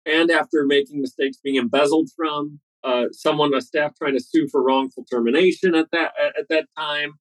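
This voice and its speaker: Brief: man, 40s, dentist guest on a podcast, eager and quick, often restarts, slightly high voice, dry close sound. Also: fairly even intonation, no echo, poor audio. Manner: fast, eager storytelling with restarts. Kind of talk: podcast interview answers.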